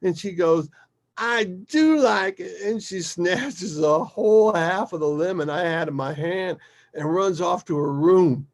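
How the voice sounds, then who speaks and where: Man acting out animated, gravelly, foul-mouthed dad. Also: high voice, a little slow, pitched above the performer's own voice. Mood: amused, animated. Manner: emphatic, colloquial, swearing storyteller. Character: rough, foul-mouthed, animated father reminiscing fondly about his daughter. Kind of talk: dramatic acted monologue within a story.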